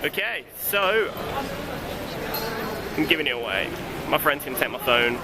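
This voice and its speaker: A man's voice: fairly high pitch, expressive, unhurried, very noisy. A man presenting casually and informally, with a wry, self-deprecating manner. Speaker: student documentary presenter. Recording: music behind location audio. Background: music.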